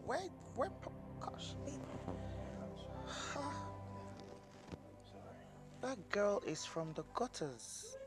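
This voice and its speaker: A woman's voice: medium pitch, huge pitch swings, very slow, long pauses, loud music behind the voice, full character voice. A woman playing a scornful, exasperated partner in a film drama. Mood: shocked, scornful.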